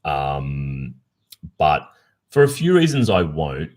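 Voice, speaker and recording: A man's voice: extremely low pitch, huge pitch swings, slow, darkish tone. An Australian man hosting a casual livestream Q&A, chatting informally and reflectively. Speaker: ASMR roleplay creator and livestream host.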